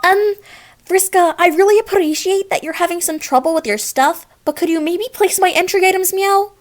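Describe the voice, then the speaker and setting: Woman doing high girly catgirl alien, eager n dramatic, audio drama storytelling, bit of music under it. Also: normal speed. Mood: impatient pleading. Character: perky, girlish, eager young female alien cat-like troll. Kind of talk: fan audio drama narration.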